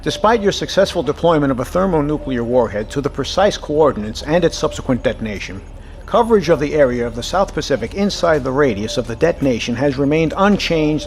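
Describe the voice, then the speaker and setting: An actor playing a gruff, sarcastic, exasperated submarine captain in an audio drama, with a profane, sardonic way of talking. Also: male voice, medium pitch, very animated, a little fast. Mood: weary sarcasm.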